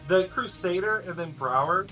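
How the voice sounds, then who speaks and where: A man's voice: sits high, lively intonation, normal speed, bright, forceful tone, soft background music, low-quality recording. A man co-casting an esports match, chatty and casual, laughing easily. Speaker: esports co-caster. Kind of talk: casual commentary.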